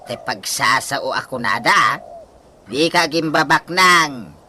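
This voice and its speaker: A woman playing an excitable, gullible nag in an Ilocano-accented comedy radio drama, full of exclamations. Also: a bass voice, slow pace, a little hiss or hum, full character voice. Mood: grumbling. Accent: Filipino Ilocano. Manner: exclamatory, swooping.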